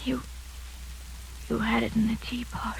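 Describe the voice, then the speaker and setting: A terrified young woman held prisoner, in the manner of a 1940s radio drama. She speaks in a frightened, urgent rush, pleading for help. The old broadcast carries a clear hiss beneath her voice. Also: a little high, level tone, a little slow, poor audio. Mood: frantic. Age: young adult.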